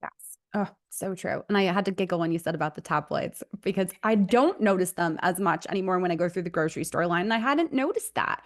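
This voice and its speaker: A woman's voice: lowish voice, expressive, fairly quick. A woman hosting a business podcast, friendly and affirming, who introduces her guest and asks questions. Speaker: podcast host. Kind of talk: podcast interview.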